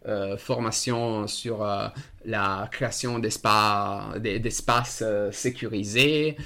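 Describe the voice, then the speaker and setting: A man whose first language is Italian, talking in a radio discussion, slow and halting with many hesitations. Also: lowish voice, fairly even intonation, sharp tone. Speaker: association member.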